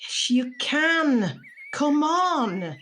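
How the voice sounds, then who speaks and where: Slow-speaking, tender older grandmother, acted by a woman. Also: high voice, sing-song, fairly bright tone, exaggerated voice. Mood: encouraging. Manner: measured, tender, heartfelt. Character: gentle, reflective grandmother, encouraging ally of a young man. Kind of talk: audio drama monologue and dialogue. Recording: noticeable background noise with birdsong.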